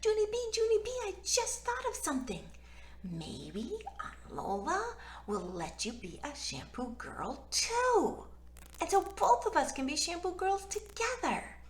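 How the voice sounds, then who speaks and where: Lady putting on an eager little school girl from a kids book, high and bouncy, friendly, childlike. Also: measured pace, reverberant, exaggerated voice. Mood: excited. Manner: excited, childlike.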